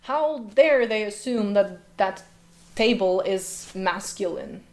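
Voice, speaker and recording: A woman's voice: mid-range voice, expressive, slow pace. A woman linguist talks to her viewers in an ordinary mid-range voice. Her commentary leans on dry sarcasm and rhetorical questions. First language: Slovenian. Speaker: linguist and video host. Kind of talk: commentary vlog.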